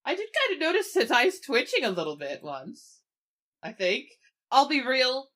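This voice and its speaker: A woman's voice: sits high, wildly swooping pitch, normal speed, pushed and bright. A woman playing a tabletop game, casually describing and voicing her character. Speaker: player in a tabletop game podcast.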